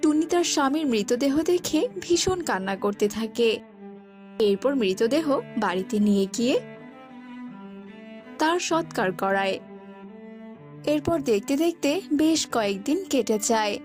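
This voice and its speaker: A woman's voice: on the high side, animated, a little slow, pauses often, darkish tone, faint music behind. A woman reading scripted narration for a children's cartoon story.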